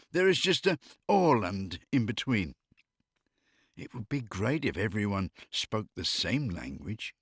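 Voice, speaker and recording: A man's voice: lowish voice, very animated, slow, long pauses, breathy edge, dry recording, clean studio sound. A middle-aged or older man chatting casually and humorously, telling anecdotes in a wry way and often amused by his own jokes. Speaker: expatriate living in Finland.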